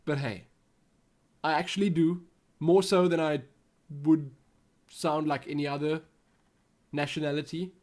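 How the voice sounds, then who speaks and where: A man's voice: on the high side, fairly even intonation, unhurried, pauses often, darkish tone. A young man from South Africa with a Western Cape accent, hosting a casual gaming Q&A vlog and rambling as he thinks aloud. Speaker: YouTube gaming channel host.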